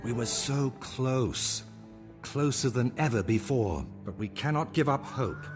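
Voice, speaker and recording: An actor playing a solemn, scholarly plant-folk marshal in a video game cutscene, speaking in an earnest, formal, measured way. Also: male voice, medium pitch, animated, close to an everyday voice. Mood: earnest resolve. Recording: voice over loud game music.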